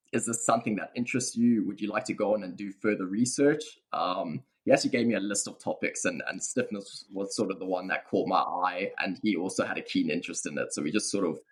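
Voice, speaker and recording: A man's voice: lowish voice, husky. A young man with a South African accent, a researcher answering podcast interview questions in a thoughtful, hedging way. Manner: thoughtful, hedging with many fillers. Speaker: guest researcher.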